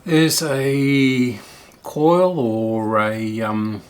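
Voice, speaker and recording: A man's voice: mid-range voice, little pitch movement, drawn-out words. A middle-aged man giving casual repair commentary as a hobbyist host, thinking aloud in a hesitant, musing way.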